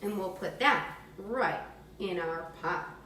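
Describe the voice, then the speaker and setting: Woman, children's cooking instructor: slow, simple, encouraging delivery, recorded at a distance with faint background noise. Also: on the low side, pushed and bright. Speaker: teacher demonstrating a recipe for children. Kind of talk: step-by-step cooking demonstration for young children. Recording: distant mic, echoey room, faint noise.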